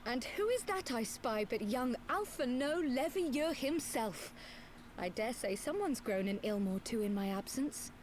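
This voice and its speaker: A woman's voice: on the high side, lively intonation, measured pace, a little mellow, a little hiss or hum. A woman playing a small, teasing, self-assured fantasy scholar in a video game, with wry, precise and formal phrasing. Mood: bright, friendly.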